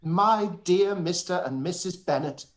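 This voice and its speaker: A man's voice: high voice, unhurried. A pompous, fawning clergyman. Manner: pompous, unctuous, elaborately formal. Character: pompous, obsequious young clergyman, self-satisfied and long-winded. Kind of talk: live-read radio play, letter read in character.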